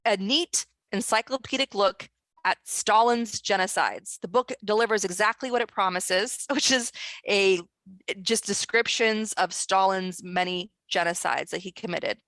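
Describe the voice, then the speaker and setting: A woman author and screenwriter speaking as a guest in an online discussion, talking in an earnest, urgent way with quick restarts. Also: mid-range voice, a bit bright, no echo. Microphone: video call.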